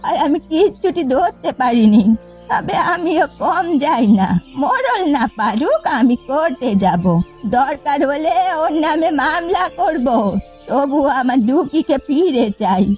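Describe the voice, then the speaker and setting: Cartoon old village woman played by a woman, indignant and doting, high, very animated delivery, faint music behind. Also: a little slow. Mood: dismayed, indignant. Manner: exclamatory, dramatic complaining. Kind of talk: animated folk-tale cartoon acting.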